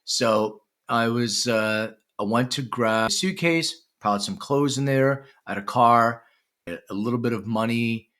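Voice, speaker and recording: A man from Lithuania who grew up in Philadelphia, a podcast guest telling his life story in a relaxed, conversational way. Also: mid-range voice, measured pace. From Lithuania, raised in Philadelphia. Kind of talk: storytelling interview.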